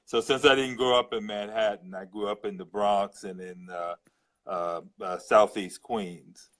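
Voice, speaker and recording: An older man speaks slowly, in a fairly low voice. He answers interview questions haltingly, pausing often and hesitating over his words. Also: fairly even intonation, a bit bright. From New York City. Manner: slow, halting, many hesitations. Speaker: guest. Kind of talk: casual interview.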